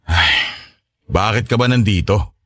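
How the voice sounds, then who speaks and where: A man's voice: on the low side, expressive, a little slow, pushed and bright, breathy edge, exaggerated voice. A man with a Filipino accent playing a cold, irritable middle-aged father, curt and dismissive, in a radio drama. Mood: annoyed, dismissive.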